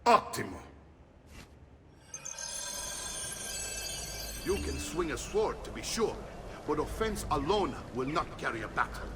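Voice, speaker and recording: An actor playing a gruff, jovial middle-aged Italian mercenary uncle in a video game, expansive and avuncular, with an Italian accent. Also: male voice, a little high, sing-song, moderate pace, recorded from a distance, background noise. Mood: firm, instructive.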